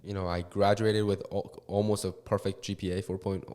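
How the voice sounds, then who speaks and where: A man in his twenties, a real estate investor, talking as a guest in a casual interview. He talks quickly and haltingly, with many restarts and fillers. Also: low voice, level tone, a little mellow. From Korea and California. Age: 20s.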